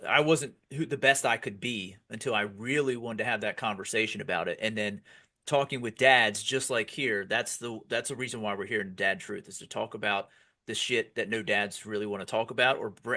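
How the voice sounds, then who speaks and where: A man's voice: lowish voice, sharp tone. A man in his forties with an American accent, hosting a casual podcast in a blunt, informal way and directing the group. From York, Pennsylvania, United States. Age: 40s. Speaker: podcast host.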